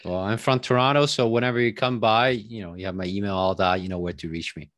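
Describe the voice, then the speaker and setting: A man, telling his story as a podcast guest in a relaxed, chatty way with many fillers. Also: on the low side, fast, no room sound. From Taiwan. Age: 30s. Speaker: podcast guest, real estate investor. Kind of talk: casual interview chat.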